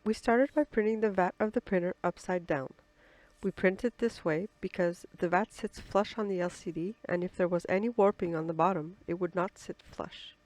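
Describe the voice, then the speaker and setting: A woman's voice: fairly low pitch, normal speed, darkish tone, no room sound, rough recording. A woman who is slightly under the weather with flu, narrating step-by-step build instructions from a script. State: sick with flu, slightly odd voice. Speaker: co-presenter of build tutorial.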